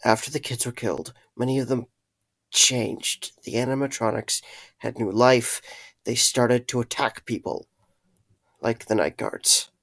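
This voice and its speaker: A man acting an earnest, guilt-ridden man in an audio drama, with halting, serious delivery. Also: medium pitch, fairly even intonation, fairly bright tone, no echo. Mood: urgent, earnest. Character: earnest, guilt-ridden man confessing dark secrets to a friend.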